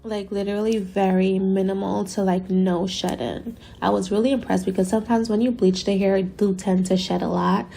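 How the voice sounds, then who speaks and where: A young woman with a raspy, tired late-night voice, gushing casually through a wig review voiceover. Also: medium pitch, fairly even intonation, moderate pace, few pauses, dark tone, echoey room, soft background music. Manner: chatty, gushing, says "you guys" often. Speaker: beauty vlogger. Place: home at night, sirens outside.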